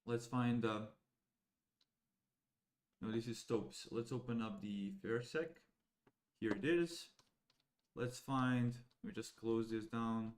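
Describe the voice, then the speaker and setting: A young man from Serbia, a native Serbian speaker, thinking aloud as he walks viewers through code, halting and casual. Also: mid-range voice. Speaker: AI educator. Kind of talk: live coding walkthrough.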